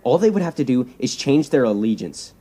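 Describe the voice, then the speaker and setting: An actor playing a persuasive, self-righteous young superhero leader in an audio drama, speaking earnestly and insistently and repeating words for emphasis. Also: male voice, medium pitch, big pitch swings, a little fast, warm tone, breathy edge. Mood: eager, scheming.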